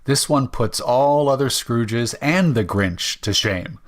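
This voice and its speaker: A male announcer with a middling pitch. He delivers scripted host lines for a vintage-style radio show. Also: slow.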